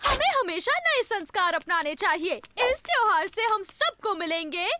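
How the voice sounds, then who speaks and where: Prim cartoon prison do-gooder, extremely high, lively swooping delivery, preachy, music loud behind. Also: female voice, moderate pace, a little hiss or hum, full character voice. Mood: preachy, cheerful. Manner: preachy, proclaiming. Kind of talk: Hindi-dubbed cartoon acting.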